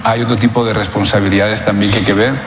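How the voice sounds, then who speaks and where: A man's voice: fairly low pitch, level tone, fast talker. A man from Spain speaking off the cuff to questions at a conference, hesitant and diplomatic, repeating phrases as he goes. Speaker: businessman answering press questions. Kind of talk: spontaneous public remarks. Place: conference venue. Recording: echoey room, clear background noise.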